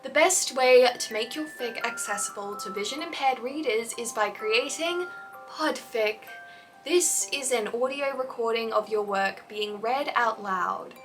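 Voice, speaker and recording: A young woman with a bright, slightly high voice delivers a scripted explainer in an earnest, encouraging tone. Her mic sits a little far from her, and faint music plays underneath. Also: unhurried. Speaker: fanfiction YouTuber.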